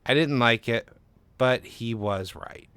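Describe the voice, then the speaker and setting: A man's voice: mid-range voice, slow pace, fairly bright tone, smooth, no room sound. Man narrating fiction, close dry sound. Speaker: podcast host and story narrator. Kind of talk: scripted first-person story narration.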